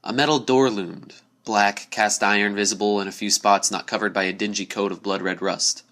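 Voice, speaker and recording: A man's voice: fairly low pitch, steady tone, normal speed, a bit bright. A man giving a dramatic scripted narration of a spooky story. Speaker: story reader.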